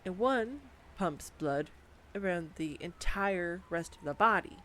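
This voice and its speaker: A woman's voice: fairly low pitch, expressive, unhurried, fairly bright tone, slight background noise. A young woman performing a scripted girlfriend roleplay monologue, chatty and giggly, talking to the listener. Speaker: voice actor playing a girlfriend. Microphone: studio condenser mic.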